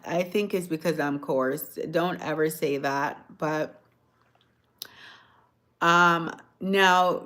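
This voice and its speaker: Low-voiced soft-spoken woman. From Jamaica. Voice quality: low, sometimes hoarse voice. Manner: chatty, reads and answers comments, soft-spoken. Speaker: livestream host. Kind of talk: casual livestream chat with viewers. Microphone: phone.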